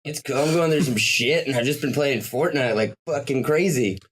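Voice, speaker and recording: A man's voice: mid-range voice, moderate pace. A man in his late twenties co-hosting a podcast, talking casually in a blunt, profane, joking way. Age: late 20s. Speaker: podcast co-host. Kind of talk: casual chat.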